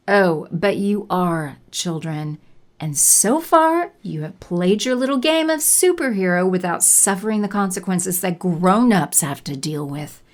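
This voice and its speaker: A woman's voice: lowish voice, animated, a little slow. A woman playing a haughty, condescending villainous sorceress in an audio drama, with theatrical, menacing delivery.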